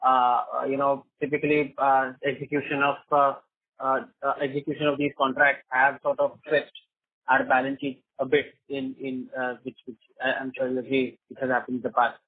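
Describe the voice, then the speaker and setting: A man's voice: medium pitch, a little fast, no echo. A man asking questions as an analyst on an earnings call, hesitant and repeating his words. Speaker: caller, financial analyst. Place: conference phone call. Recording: flat, dry narrow phone line.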